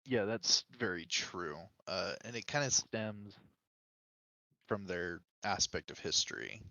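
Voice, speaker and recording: A man's voice: sits low, takes it slowly, creaky, sharp tone, dry recording. A man speaking as a guest on a casual gaming podcast, explaining things in an informal way.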